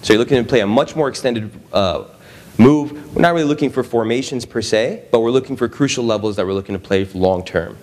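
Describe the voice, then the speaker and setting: A man's voice: mid-range voice, quick pace, a little mellow. A young man presenting a trading seminar to a live audience, conversational, often checking in with the room. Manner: conversational, frequent "right?" and "okay?" check-ins with the audience. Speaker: trading strategist presenting at an expo.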